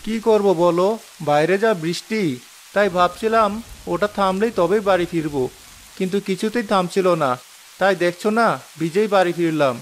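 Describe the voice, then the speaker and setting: A woman voicing a young man who has just become a village headman, earnest and dutiful, in a deep put-on voice. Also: normal speed, slight background noise, natural voice.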